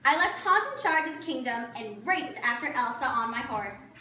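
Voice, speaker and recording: A woman's voice: a little high, expressive, normal speed, pushed and bright, slight background noise. A woman playing an earnest, romantic young princess, narrating her adventure expressively as a story for children. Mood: determined, dramatic. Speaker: costumed storyteller performer. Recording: distant, echoey room with audience chatter.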